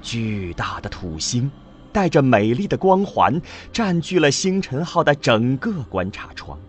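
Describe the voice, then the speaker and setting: A man narrating a scripted radio drama in an emotive, deliberate storytelling manner. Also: medium pitch, sing-song, soft background music.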